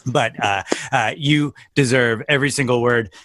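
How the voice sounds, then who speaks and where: A man's voice: medium pitch, moderate pace, fairly bright tone, no room sound, studio quality. A middle-aged American man hosting a podcast, effusive and affectionate, moving between scripted introduction and casual interview chat. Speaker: podcast host.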